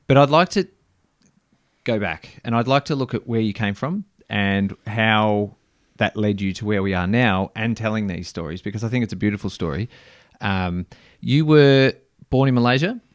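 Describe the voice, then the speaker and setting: A man's voice: fairly low pitch, moderate pace, no echo. Male podcast host, dry sound. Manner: warm, hesitant with many ums and restarts. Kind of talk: interview.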